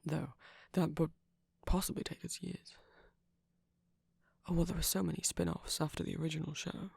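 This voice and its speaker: A close, dry recording of a man playing a shy young vampire boyfriend in an ASMR roleplay. His voice is soft and breathy with a creaky edge, often dropping to a whisper. He is gentle and nervous, rambling hesitantly and apologetically. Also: on the high side, fairly even intonation, moderate pace, dry recording, exaggerated voice. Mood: gently chatty. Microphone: close microphone.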